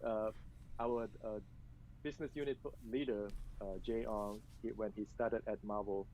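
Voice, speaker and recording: A man from Malaysia, answering interview questions over a video call with hesitant pauses. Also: on the low side, dark tone, slight background noise, poor audio. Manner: hesitant, many filler pauses. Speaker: game company director.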